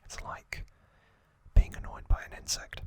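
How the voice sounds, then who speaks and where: A man whispering an ASMR recap as host, in short, deliberate phrases with pauses between them.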